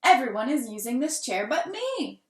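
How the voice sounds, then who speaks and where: A woman voicing an imaginative, determined and indignant little girl in a storybook, with emphatic, drawn-out declarations. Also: high-pitched, sing-song. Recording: roomy, echoing room sound.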